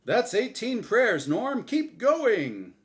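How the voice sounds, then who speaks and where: A man voicing a gleeful, showy game show host from the afterlife in a story reading, with exclamatory, drawn-out announcer delivery. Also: sits high, wildly swooping pitch, voice pushed up, full character voice. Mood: encouraging.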